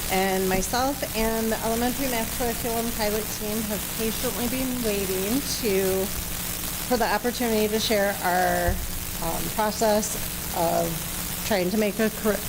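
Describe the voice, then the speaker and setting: A woman who is a math instructional coach, presenting to a board in an earnest, slightly halting manner. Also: medium pitch. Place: meeting room. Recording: steady background hiss.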